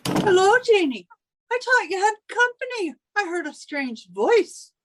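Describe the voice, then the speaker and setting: Actress as prying landlady, very high pitch, lively swoops, theatrical, exclamatory, 1940s radio comedy. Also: measured pace, exaggerated voice. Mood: nosy, suspicious. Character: suspicious, strict landlady Missus O'Reilly, snooping and apologetic.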